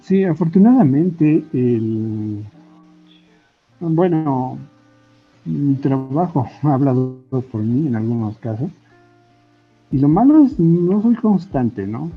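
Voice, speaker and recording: Male interview guest, Bolivian accent, very slow and reflective delivery with halting phrases, lo-fi sound. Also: a little high, animated, breathy edge. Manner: hesitant, thoughtful, often ending on tag questions. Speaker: guest, comic artist and illustrator. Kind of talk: casual interview conversation. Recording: muffled lo-fi audio with faint music. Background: faint background music.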